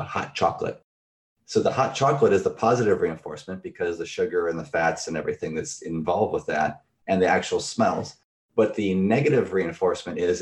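A man's voice: deep voice, darkish tone. A middle-aged man, a therapist, explaining concepts in a thoughtful, conversational way with everyday examples.

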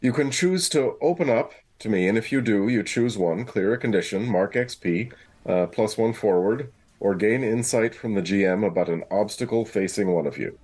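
A man's voice: lowish voice, normal speed, darkish tone, breathy edge. A man playing a tabletop roleplaying game, chatting casually and improvising as he goes. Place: remote online call.

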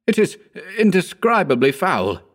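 Man acting an overwrought male horror hero, raised pitch, urgent, exclaiming, melodramatic, audiobook dialogue. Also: big pitch swings, measured pace, exaggerated voice. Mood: revolted. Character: overwrought, horrified male friend of a horror writer.

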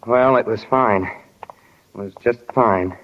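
A man's voice: lowish voice, takes it slowly, a little hiss or hum. An actor playing a bashful, admiring small-town boy of sixteen, hesitant and awkward, in 1940s radio drama style. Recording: dull 1940s radio recording.

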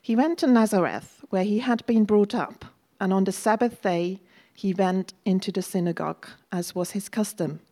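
A woman reading a scripture passage aloud in a measured, deliberate way, as part of a chapel service. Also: mid-range voice, dark tone. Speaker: scripture reader.

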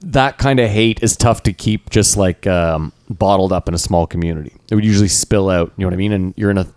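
A man with a fairly deep voice talks as a podcast co-host. He is chatty and argues his point in loose, everyday language with some swearing. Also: brisk, a little mellow, no echo, studio quality. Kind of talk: casual banter.

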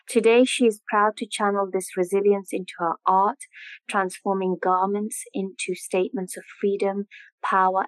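A woman hosts a podcast, reading a formal, polished introduction from a script. Her voice sits at a middle pitch and is recorded dry and close. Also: dry recording, low-quality recording. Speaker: podcast host. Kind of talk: read introduction, then interview questions.

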